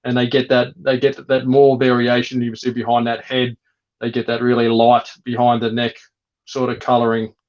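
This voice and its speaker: A man chatting casually and explaining things as a guest breeder, in a relaxed way with frequent fillers. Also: mid-range voice, normal speed. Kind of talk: casual chat. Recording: dull lo-fi sound.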